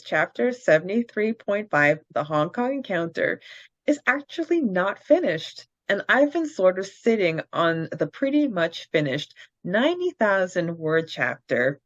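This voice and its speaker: A woman co-hosting a podcast, reading fan answers aloud and breaking into excited laughter. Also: on the low side, no room sound, dull audio. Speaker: podcast co-host.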